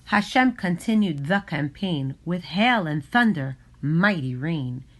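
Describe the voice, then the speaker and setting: A woman reads a rhyming storybook aloud for children. Her voice sits a little low and she takes her time, slow and deliberate. The delivery is expressive. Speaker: storybook reader.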